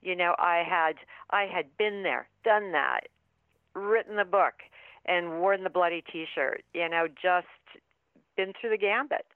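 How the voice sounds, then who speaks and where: A woman's voice: on the low side, a little slow, dry recording. A woman author being interviewed over the phone, speaking earnestly and with emphasis. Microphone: telephone. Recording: tinny phone line.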